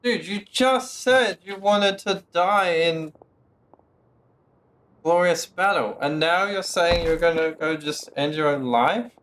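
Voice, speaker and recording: A man's voice: fairly high pitch, slow, a bit bright, a little hiss or hum. A man giving casual, mocking, profane live commentary as a game streamer.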